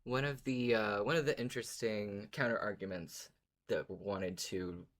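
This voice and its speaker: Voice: low voice, normal speed. A podcast co-host in casual discussion, arguing a case conversationally and at times reading out comments.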